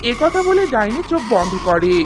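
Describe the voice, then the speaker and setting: A woman's voice: fairly high pitch, very animated, moderate pace, heavy background noise. A woman narrating a scripted fairy tale for a cartoon as the storyteller.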